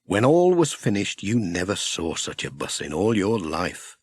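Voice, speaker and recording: British male children's storyteller: lowish voice, lively intonation, scripted audiobook read. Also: a little slow, breathy edge, no room sound.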